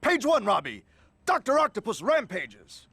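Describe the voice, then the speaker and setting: An actor playing a brash, bossy newspaper boss in a video game, barking bluntly and impatiently. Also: male voice, very high-pitched, huge pitch swings, moderate pace, a bit bright, slightly rough, no echo, full character voice. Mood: irritated, bossy. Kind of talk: scripted video game acting.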